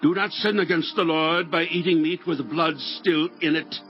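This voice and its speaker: An actor playing a stern warrior king in a dramatized audio Bible, declaiming imperiously with drawn-out phrases. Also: on the high side, steady tone, lo-fi sound, faint music behind, lightly acted. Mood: commanding. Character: stern, commanding warrior king of ancient Israel.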